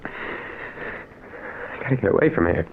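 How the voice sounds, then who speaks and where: An actor playing a desperate, guilt-ridden American husband in an old radio melodrama, anguished and halting. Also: male voice, close to an everyday voice. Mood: panicked, horrified. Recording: hissy, dull vintage radio recording.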